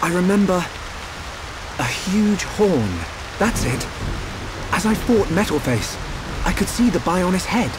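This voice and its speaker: Earnest high-voiced young hero. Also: male voice, animated, unhurried, long pauses, noisy background. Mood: pensive. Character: earnest, thoughtful young man hero, resolute. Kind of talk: video game cutscene voice acting.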